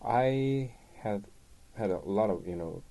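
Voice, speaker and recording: A man's voice: on the low side, animated, slow pace, gravelly, mellow. A man co-hosting a podcast, telling stories casually in informal phrasing full of "you know". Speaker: co-host guest producer.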